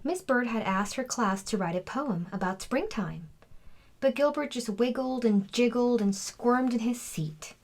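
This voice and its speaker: A woman's voice: on the high side, measured pace. A woman reading a children's storybook aloud as scripted narration. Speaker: children's book reader.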